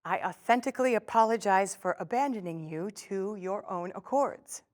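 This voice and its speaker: A woman's voice: medium pitch, moderate pace, natural voice. An actress performing a solemn, anguished woman's poetic farewell in a formal, declamatory theatrical style. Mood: mournful. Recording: faint music underneath.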